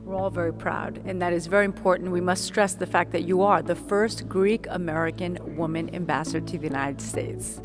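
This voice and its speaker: A woman's voice: fairly low pitch, fairly even intonation, fairly quick, few pauses, over music. A woman hosting a formal TV interview, asking questions in a deferential, courteous way. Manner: formal, deferential, with a few restarts. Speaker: TV interviewer, host.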